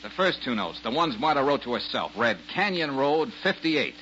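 An actor playing an easygoing, sharp male detective in a 1940s-style radio drama, with a halting, folksy delivery. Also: mid-range voice, very animated. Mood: explaining. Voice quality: halting, folksy, full of uhs. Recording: dull lo-fi old radio broadcast with faint hiss.

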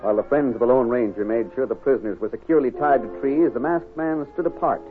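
A man narrating an old-time radio western in a measured, dramatic, suspenseful style. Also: medium pitch, natural voice. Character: dramatic, suspenseful male storyteller of western adventure. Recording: tinny old radio with music behind.

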